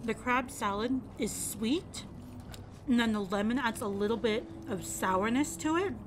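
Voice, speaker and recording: Young woman food vlogger, bright chatty voice, table-side camera mic with patio background noise. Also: on the high side, a little slow. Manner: chatty, describes each bite. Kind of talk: casual food review to camera. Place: restaurant patio, outdoors. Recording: noisy patio ambience.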